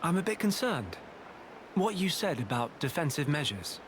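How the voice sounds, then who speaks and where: A man's voice: a little high, sing-song, moderate pace, noisy background. A man playing a friendly, thoughtful young hero in a video game, speaking casually and politely. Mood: concerned, polite. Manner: casual, polite, mild phrasing. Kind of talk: video game cutscene acting. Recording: music behind the voice.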